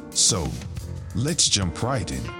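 A man's voice: sits low, very animated, slow pace. A man reading a scripted podcast introduction as the announcer. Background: guitar music.

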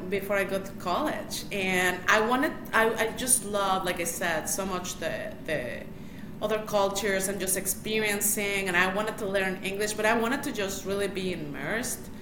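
A woman's voice: medium pitch, normal speed. A woman from Mexico City with a Mexican Spanish accent, chatting as an interview guest, laughing often and restarting her sentences. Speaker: guest, matchmaker. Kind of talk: casual interview. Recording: echoey room, faint noise.

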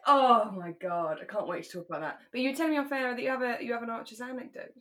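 A woman's voice: on the high side, racing, fairly bright tone. A woman co-hosting a podcast, chatting casually in an effusive, teasing way. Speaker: podcast co-host. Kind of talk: casual chat.

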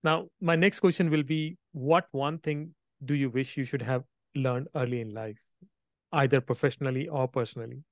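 A man's voice: mid-range voice, steady tone, no room sound. Podcast host man, dry sound. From India. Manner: friendly, halting phrasing with restarts. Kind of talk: podcast interview.

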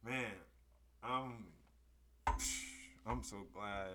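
Hoarse male podcast host, unhurried, excitable hype talk. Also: mid-range voice, very noisy. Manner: hype, emphatic, bursts into loud exclamations. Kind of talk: casual podcast chat.

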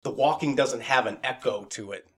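Guy hosting a podcast, casual chat, earnest and kinda rambly, medium pitched voice. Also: moderate pace. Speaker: podcast host and audio drama maker. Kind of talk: casual conversation.

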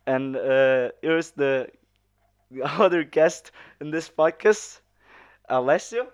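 Young host, slightly high, smooth clear voice, halting delivery, casual podcast. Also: male voice, lively intonation. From Sicily, Italy. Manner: halting, searching for words.

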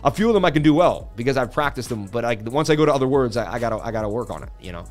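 A man's voice: mid-range voice, lively intonation, rapid-fire, soft background music. A man hosting a casual crypto live stream, rambling and laughing a lot.

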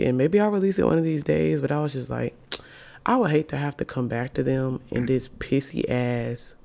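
A woman with a deep voice, roughened further by illness, hosts her podcast alone and chats loosely to her listeners. Her tone is playful and slangy, full of self-hype, with a gravelly fry running through her words. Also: darkish tone. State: sick with covid, voice extra deep. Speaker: podcast host. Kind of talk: casual solo podcast chat.

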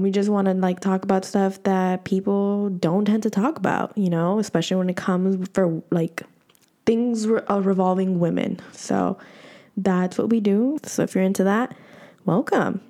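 Chatty woman podcast host with a mellow, warm voice and loose, filler-heavy talk. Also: lowish voice. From United States. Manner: chatty, many fillers and restarts. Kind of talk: casual podcast chat.

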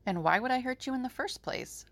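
A woman's voice: fairly low pitch, expressive, unhurried, vocal fry, a bit bright, dry recording, full character voice. A woman playing an amused, self-assured artist with a wry, casual manner in an audio drama.

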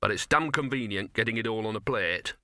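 A man's voice: fairly low pitch, expressive, a little fast, bright, forceful tone, dry recording, clean studio sound. A man voicing a scruffy small male dog in a storybook, growly and blustering in a colloquial way. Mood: grumbling bluster.

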